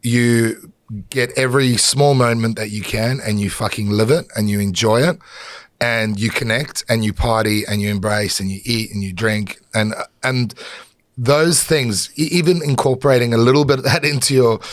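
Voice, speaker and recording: A man's voice: medium pitch, normal speed, dry recording, clean studio sound. A middle-aged man with a South African accent, a chef guest talking candidly on a podcast and swearing freely. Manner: candid, swears freely, many fillers and restarts. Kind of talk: podcast conversation.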